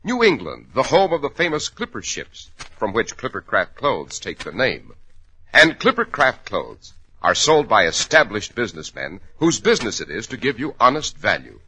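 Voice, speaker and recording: A man's voice: mid-range voice, wildly swooping pitch, moderate pace, pushed and bright, husky, poor audio. A man with an American accent, a polished and emphatic 1940s radio announcer and host delivering scripted lines.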